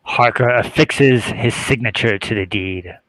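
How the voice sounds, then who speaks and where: A man's voice: medium pitch, unhurried, fairly bright tone, no room sound, rough recording. A man reading stage directions as scripted narration for an amateur drama table read.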